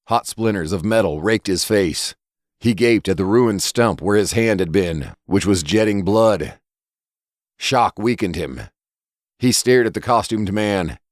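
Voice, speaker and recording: A man's voice: on the low side, dry recording, clean studio sound. A man reading a scripted horror story as its narrator.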